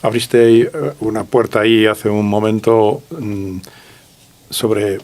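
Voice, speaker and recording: A man hosting a podcast interview, building his questions haltingly and conversationally with thinking pauses. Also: fairly low pitch, flat delivery, a little mellow.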